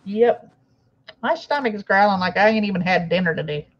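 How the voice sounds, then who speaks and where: A woman's voice: mid-range voice, animated, fairly quick. Woman stream host, casual and laid-back, on a dull lo-fi mic. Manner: laid-back, laughs often. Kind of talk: casual chat.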